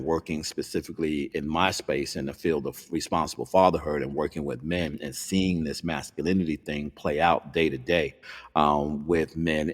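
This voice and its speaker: A man's voice: rumbling low, long reverb tail. A middle-aged man hosting a podcast interview, speaking earnestly with many fillers into a studio mic over a video call. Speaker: podcast host. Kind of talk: interview conversation. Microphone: studio microphone on video call.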